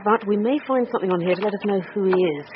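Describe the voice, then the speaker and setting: An actress playing a commanding, dryly witty police detective boss in a radio drama. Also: female voice, medium pitch, rapid-fire, lightly acted. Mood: dry, thoughtful. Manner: dry, authoritative. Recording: noisy, dull radio drama recording.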